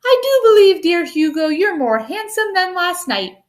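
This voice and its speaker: A vain storybook king, acted out by a woman, pitched high and full of bluster. The delivery is pompous and grandiose, swooping widely as he boasts. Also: very animated, normal speed. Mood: self-admiring.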